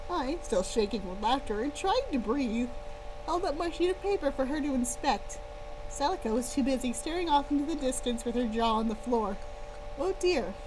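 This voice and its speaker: Woman reading her story on stream, high voice, playful casual delivery, noisy humming background. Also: moderate pace. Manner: expressive, playful. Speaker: author-style reader and streamer. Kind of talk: first-person story read-aloud with casual asides. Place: live stream. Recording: clear background hum and noise.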